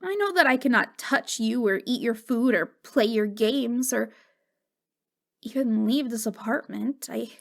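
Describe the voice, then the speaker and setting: A woman playing a wistful, wounded ghost girl in an intimate ASMR roleplay, speaking in a halting, fragile, stammering way. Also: fairly high pitch, lively intonation, gravelly. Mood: jealous, defensive. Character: wistful, wounded ghost girl, long dead since wartime.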